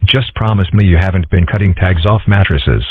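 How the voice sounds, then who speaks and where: A man's voice: sits low, moderate pace, slightly rough. A man voicing a geeky, laid-back young fox boy in a comic dub, dry and matter-of-fact. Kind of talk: amateur comic strip dub. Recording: muffled, phone-like lo-fi audio.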